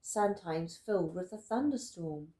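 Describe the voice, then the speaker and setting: A woman reading a rhyming picture book aloud in an expressive, deliberate storytelling manner. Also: fairly low pitch, echoey room. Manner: dramatic, deliberate storytelling with emphatic words. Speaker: storybook reader.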